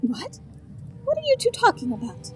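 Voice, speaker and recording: A woman's voice: very high-pitched, huge pitch swings, normal speed, darkish tone, faint music behind, heavily acted. A woman playing a kindly, earnest sugar plum fairy in an audio drama, with a sweet manner. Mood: puzzled, earnest. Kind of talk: amateur audio drama acting.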